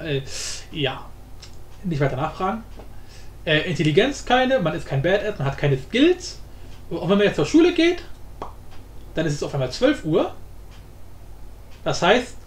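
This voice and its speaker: A man hosting a casual screencast tutorial, thinking aloud in an informal, improvised way. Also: high-pitched. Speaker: tutorial host. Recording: roomy echo, faint background noise.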